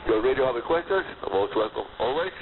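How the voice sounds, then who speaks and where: A man's voice: mid-range voice, expressive, quick pace. A man from Cuba, a native Spanish speaker with a Cuban accent, hosting a scripted radio hobby program in an emphatic way, heard over shortwave. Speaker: radio host. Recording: noisy, narrowband shortwave radio broadcast reception.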